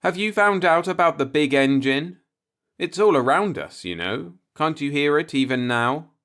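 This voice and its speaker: A man's voice: fairly high pitch, lively intonation, normal speed, a bit bright. Man reading as folksy, cynical self-taught old philosopher. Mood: musing, confiding. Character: cynical, musing older working-class man, self-taught professor. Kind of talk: audiobook character monologue.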